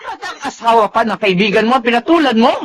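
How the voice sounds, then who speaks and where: A woman's voice: fairly high pitch, lively intonation, fast talker, a little hiss or hum, natural voice. An actress playing a dismayed mother scolding her grown daughter in a Filipino radio drama, reproachful and lamenting, with a Filipino accent.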